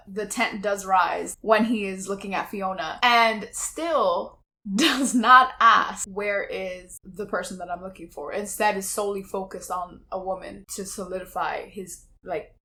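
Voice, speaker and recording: A woman's voice: medium pitch, normal speed, bright, forceful tone. A woman in her twenties whose first language is Spanish, co-hosting a casual podcast and chatting with lots of fillers. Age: 20s. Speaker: podcast co-host. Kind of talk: casual chat.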